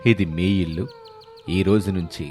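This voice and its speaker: A man voicing a kindly older landlord in an animated moral story, fatherly, affectionate and authoritative. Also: low voice, a little slow, soft background music. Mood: earnest, affectionate.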